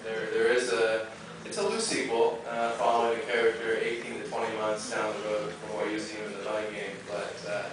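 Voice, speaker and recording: A man, a filmmaker, fielding audience questions with informal, halting, unscripted replies. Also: lowish voice, completely flat delivery. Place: movie theater stage Q&A. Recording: echoey theater, distant mic, clear noise.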